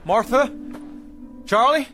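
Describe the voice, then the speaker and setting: Desperate pioneer dad in a radio play, earnest and stammery, faint music behind. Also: male voice, extremely high pitch, pushed and bright, full character voice. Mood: alarmed, calling out. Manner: earnest, halting, stammering repetitions. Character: desperate, determined pioneer husband and father from 1847. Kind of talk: dramatic radio play acting.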